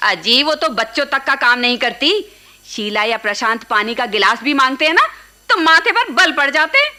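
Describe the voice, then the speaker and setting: A woman playing a sharp-tongued, scolding middle-aged housewife and aunt in a radio play. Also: high voice, expressive, normal speed, heavily acted. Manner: scolding, complaining, sarcastic. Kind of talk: Hindi radio play acting.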